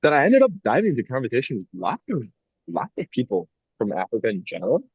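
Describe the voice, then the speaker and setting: A man's voice: mid-range voice, huge pitch swings, quick pace, slightly rough, no room sound, highs cut off. A young man co-hosting a podcast, talking in a casual, rambling and reflective way with frequent "like" fillers. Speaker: podcast co-host.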